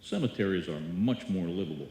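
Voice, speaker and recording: A man playing a gloomy, deadpan maestro who wisecracks in a live old-time radio comedy. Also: sits low, fairly quick. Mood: sardonic. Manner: dry, deadpan punchlines. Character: gloomy, sardonic male maestro neighbour, wisecracking. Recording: echoey hall, live stage performance.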